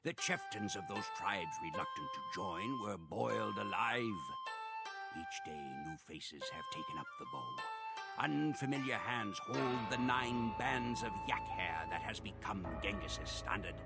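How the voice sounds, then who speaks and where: An actor playing a grave Mongol follower who narrates a conqueror's campaigns in a solemn, measured way for a strategy game. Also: male voice, fairly low pitch, lively intonation, vocal fry. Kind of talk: scripted dramatic narration. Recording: music behind the voice.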